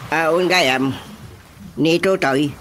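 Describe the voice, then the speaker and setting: A man's voice: a little high, slow pace, fairly bright tone, slight background noise. Easygoing old Ilocano father. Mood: boastful. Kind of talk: Ilocano radio comedy-drama acting. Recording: prominent music under the dialogue.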